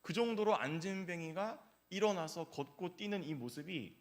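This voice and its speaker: Male pastor, fairly high voice, chatty storytelling sermon, fills pauses with "uh". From South Korea, grew up in Gyeongsang region. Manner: conversational storytelling with frequent "uh" fillers. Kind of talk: early-morning sermon with personal anecdotes. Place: church.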